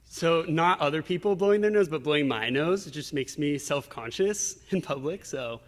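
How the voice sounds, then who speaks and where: A man's voice: on the high side, moderate pace. Young man in a very reverberant space. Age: young adult. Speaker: interview guest. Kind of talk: casual conversational interview. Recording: long reverberant hall echo.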